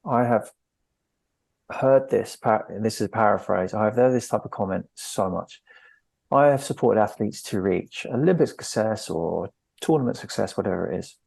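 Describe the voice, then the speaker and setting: A man's voice: fairly low pitch, moderate pace, gravelly, a little mellow, no room sound. A man hosting a podcast, asking his guest long, searching questions that wander a little. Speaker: podcast host. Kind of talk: interviewing a guest.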